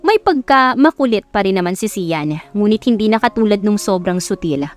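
A woman's voice: medium pitch, animated, moderate pace, soft background music. A woman with a Filipino accent reading scripted narration for a romance audiobook. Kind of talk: scripted narration of a Tagalog love story.

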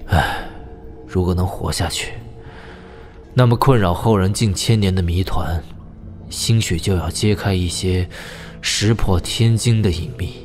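A man's voice: sits low, a little slow, darkish tone, soft background music. A man acting a thoughtful, wry young martial hero in a Chinese audio drama, given to sighing and musing. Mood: pensive musing.

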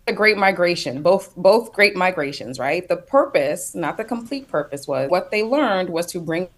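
A woman's voice: fairly low pitch, lively intonation, moderate pace. A woman speaking as a panelist on a video call, thoughtful and reflective, building her points in loose phrases. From United States. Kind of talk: panel discussion.

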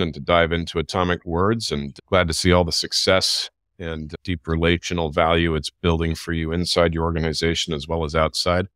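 A low-voiced man hosts a podcast over a video call, heaping praise on his guest in an upbeat way. The sound is close and dry. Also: a bit bright, no echo. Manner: effusive, praising, upbeat wrap-up. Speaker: podcast host.

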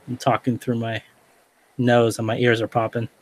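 A man's voice: mid-range voice, normal speed, a little hiss or hum, low-quality recording. A man in his thirties talks through a stuffed-up nose, his voice nasal. He chats loosely and rambles with fillers, hosting a podcast from a small office over a headset on a video call. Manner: relaxed, rambling with many fillers. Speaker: podcast host. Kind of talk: casual podcast chat. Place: small office room indoors.

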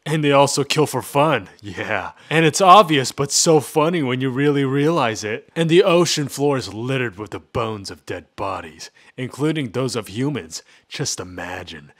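A man performs comic dub lines with a lively, swinging pitch. His delivery is theatrical, dropping into deadpan and rising into exclamation. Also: normal speed, few pauses. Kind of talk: comic dub character acting.

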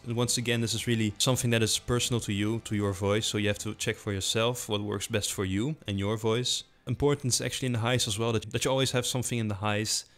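A man with a low voice giving a casual software tutorial, close on a desktop mic with a pop filter, explaining quickly with filler words. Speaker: tutorial host. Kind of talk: screen-recorded software tutorial. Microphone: desktop USB condenser mic with foam and pop filter.

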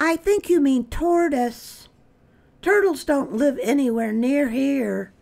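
Woman as a high-voiced, nitpicking little squirrel. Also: slow, gravelly, a little mellow, heavily acted. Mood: pedantic. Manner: matter-of-fact, nitpicking. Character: small, pedantic ground squirrel in a children's storybook.

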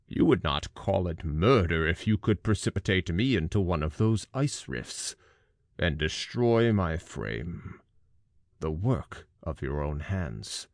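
A wretched, vengeful fiend in a gothic audiobook. The voice is very deep and gravelly, creaking at the edges. It speaks slowly and dramatically, with big swings in pitch and a dry, close sound. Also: male voice, dry recording, heavily acted. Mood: bitter. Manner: slow, weighty, dramatic delivery. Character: monstrous male fiend, miserable, vengeful, pleading. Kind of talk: audiobook character acting.

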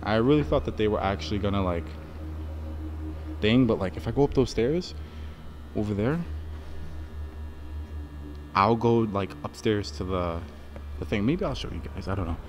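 A man's voice: fairly low pitch, expressive, normal speed, pauses often, a little hiss or hum. Nervous young man rambling in a basement. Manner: rambling, nervous, hushed, lots of "like". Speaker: vlogger. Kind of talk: casual handheld walkthrough vlog. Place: empty concrete basement, large indoor space. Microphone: handheld phone camera. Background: faint music.

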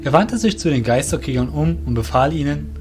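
A man's voice: fairly high pitch, animated, fairly quick, music in the background. A man narrating a scripted audio drama as its storyteller.

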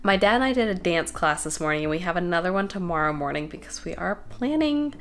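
A young woman vlogging at home, talking to the camera in a bubbly, chatty way, her nose a bit stuffed up. Her voice sits fairly low. The room around her sounds bare and echoey. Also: expressive, quick pace, a bit bright. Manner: chatty and bubbly, laughs between phrases. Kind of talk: casual vlog talk to camera. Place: home living room and bedroom.

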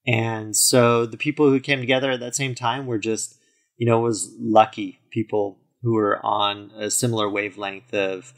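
Low-voiced man telling a long story. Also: fairly bright tone. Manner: fluent storytelling with "you know" fillers. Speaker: guest. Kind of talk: interview conversation.

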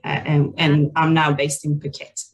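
A Swedish woman in her forties, a native Swedish speaker, talks as a guest on a video call. Her voice sits a little low. She tells personal stories in a reflective, halting, conversational way. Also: monotone, rough recording. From Sweden. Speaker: interview guest, people and culture leader.

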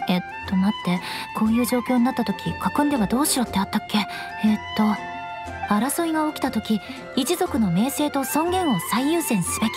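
A proud young noblewoman knight played by a woman speaks in a high voice. She is haughty and formal in an old aristocratic way, yet easily flustered. Game dialogue with clear music behind. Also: animated, a little fast, natural voice. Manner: haughty, archaic aristocratic phrasing. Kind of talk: Japanese game voice acting.

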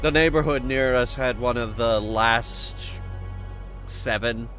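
Slow-paced man streaming, faint music behind. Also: mid-range voice, fairly bright tone. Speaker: game streamer. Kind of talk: casual live game commentary, chatting with viewers.

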